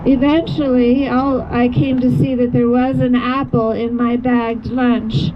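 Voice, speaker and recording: A woman with a fairly high voice delivers a testimony at an outdoor rally. She is measured and solemn, on a slightly muffled recording with faint traffic noise. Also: little pitch movement. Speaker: rally speaker giving a testimony. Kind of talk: first-person account read aloud. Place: outdoor rally near a road. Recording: dull lo-fi audio, faint vehicle noise.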